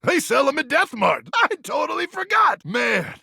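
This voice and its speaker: A man voicing a macho, melodramatic microwave who acts like a gung-ho action hero in a video game, with a gravelly, husky voice and bombastic, theatrical delivery. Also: very high-pitched, sing-song, moderate pace, no echo, clean studio sound, exaggerated voice. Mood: pumped up. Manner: bombastic, theatrical swings from brooding to shouting. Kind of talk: video game character acting.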